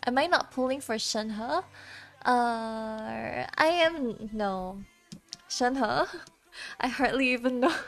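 A tired young woman streamer with a slightly scratchy voice, chatting casually while she draws, soft and halting, stammering and giggling often. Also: fairly high pitch. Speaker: VTuber streamer. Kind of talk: casual livestream chat while drawing.

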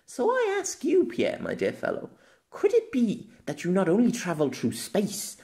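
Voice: medium pitch, wildly swooping pitch, moderate pace, a little mellow. A storyteller voicing a proud, playful young noblewoman in an audio novel, with arch, formal diction. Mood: excited.

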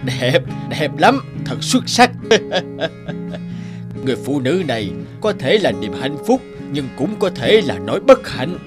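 An imperious, vengeful god, animated pitch. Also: male voice, measured pace, music in the background. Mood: gloating. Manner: imperious, declamatory. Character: stern, vengeful ruler of the gods, commanding and scheming. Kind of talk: animated fairy-tale dubbing.

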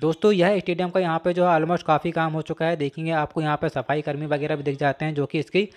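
A man's voice: on the high side, flat delivery, quick pace. A man, narrating a vlog as he walks through a stadium, chatty and addressing viewers as friends, filmed on a handheld phone. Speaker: vlogger. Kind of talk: walking tour vlog narration. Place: inside and around a stadium, walking. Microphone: phone camera held while walking.

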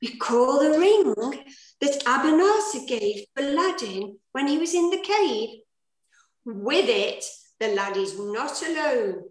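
A woman's voice: high-pitched, very animated, takes it slowly. An older woman narrating a pantomime in theatrical, sing-song rhyme on a video call. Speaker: director and rhyming fairy narrator. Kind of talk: scripted rhyming pantomime narration. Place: plain room indoors, video call. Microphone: computer mic on video call. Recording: echoey room on video call.

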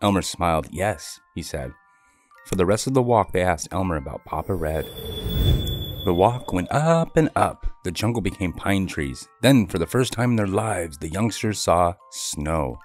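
A man's voice: deep voice, lively intonation, moderate pace, soft background music. A man co-hosting a children's story read-aloud. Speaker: co-host reading aloud.

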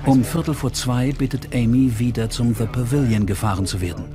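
A man narrates a true-crime documentary in German-podcast style. His medium-pitched voice reads from a script, with music playing clearly underneath. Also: fairly even intonation, a little fast.

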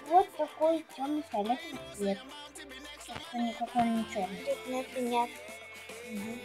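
A woman's voice: fairly high pitch, unhurried, music in the background. A woman video blogger chatting casually and ramblingly to herself and her viewers while showing things, chewing gum as she talks. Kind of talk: casual chatty nail polish show-and-tell.

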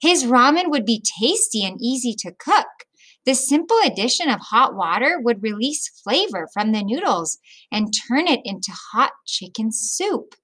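A middle-aged woman reading a picture book aloud to children like a teacher, with expressive, deliberate phrasing. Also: a little high. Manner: deliberate phrasing with explanatory asides to young listeners.

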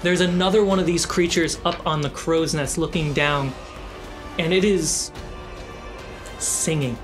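Game master narrating over loud music. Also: medium pitch, fairly even intonation, measured pace. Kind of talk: narrating a tabletop role-playing game. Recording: loud background music under the voice. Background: cinematic musical score.

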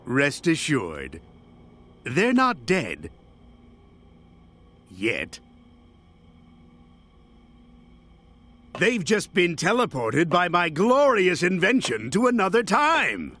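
A grandiose, menacing mad-scientist villain from a video game. He gloats in a bright, high voice that swoops theatrically, drawing out his words slowly. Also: exaggerated voice. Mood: gloating. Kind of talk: video game cutscene voice acting.

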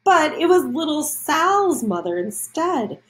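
A woman's voice: high-pitched, sing-song, slow pace. A woman reading a children's storybook aloud, adding explanations and questions for young listeners.

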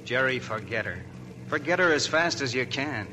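A man's voice: mid-range voice, expressive, moderate pace, bright, forceful tone. An actor playing an earnest young army officer urging his friend in a 1940s radio drama. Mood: pleading. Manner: pleading, persuasive. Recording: noisy old radio broadcast.

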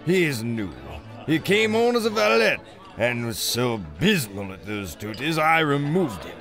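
Pompous, theatrical English lord, swooping pitch. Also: male voice. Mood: indignant. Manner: pompous, theatrical, drawn-out words. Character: pompous, snobbish English lord hosting a reception. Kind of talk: audio adventure drama acting.

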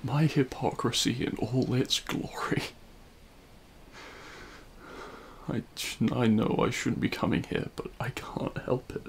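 A man playing a remorseful, self-loathing supervillain in an intimate audio roleplay, speaking in a halting, anguished way. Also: mid-range voice, steady tone, vocal fry, slight background noise, natural voice. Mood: tearful self-loathing. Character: remorseful male supervillain confessing love at a grave.

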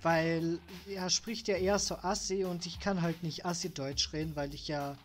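A woman's voice: fairly low pitch, fairly even intonation, moderate pace, soft background music. A woman in her twenties with a Styrian Austrian accent, reading game text aloud and chatting on a live stream. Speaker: let's play streamer.

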